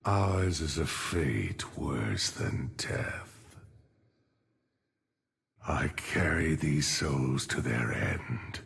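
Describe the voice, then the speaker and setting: Game villain-hero: grim, weary old gravedigger monk, husky gravel rasp, crawling solemn delivery, long echoing tail. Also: male voice, a bass voice, animated, fairly bright tone, exaggerated voice. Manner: slow, solemn, brooding. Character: ancient weary male necromancer monk, grim, tormented. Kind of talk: video game character voice lines. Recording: long cavernous reverb.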